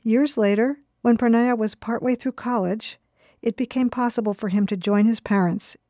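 A woman's voice: a little high, fairly even intonation, moderate pace, mellow, dry recording, studio quality. A woman presenting scripted documentary narration for radio.

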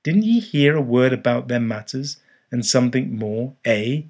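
A man's voice: medium pitch, moderate pace, a little mellow, pitched above the performer's own voice, natural voice. A man voicing a ragged old male sailor who speaks in an earnest, cryptic, ominous way in an audiobook. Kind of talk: audiobook character voice.

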